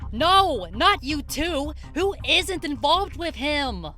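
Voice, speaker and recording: Woman voicing a high, bright, terrified damsel. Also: animated, slow pace, exaggerated voice. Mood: panicked. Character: terrified, pleading woman in peril.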